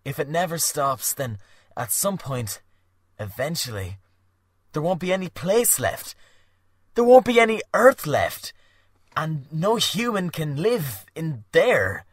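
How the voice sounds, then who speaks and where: A man voicing a frightened young man, loud and agitated, stammering as he talks. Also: on the high side, sing-song, slow, husky, no room sound, clean studio sound, pitched above the performer's own voice, full character voice. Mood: alarmed.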